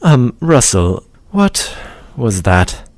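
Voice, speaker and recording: A man's voice: mid-range voice, very animated, very slow, no room sound, clean studio sound, full character voice. A man voicing a clever, smug scholar with a pedantic, drily sarcastic manner, in a comedic fan dub. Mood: amused lecturing. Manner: sardonic, pedantic, articulate. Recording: music behind the voice.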